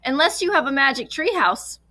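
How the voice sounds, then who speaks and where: A woman's voice: high voice, expressive, fairly quick, sharp tone, pitched above the performer's own voice. A woman voicing a curious, cheerful, spirited little girl with a perky manner in a children's storybook.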